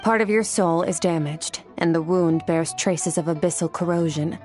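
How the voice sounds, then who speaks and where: An actress playing an aloof, mysterious swordswoman who speaks calmly and tersely, with detached coolness. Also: on the low side, moderate pace, natural voice. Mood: cool. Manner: calm, terse, coolly detached. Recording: game cutscene with faint music.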